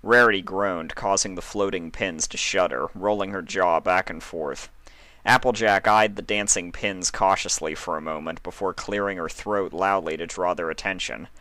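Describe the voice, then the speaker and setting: Guy narrating a story, deep voice but crisp and bright, dry close sound. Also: steady tone, normal speed, no room sound. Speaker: narrator of fan fiction.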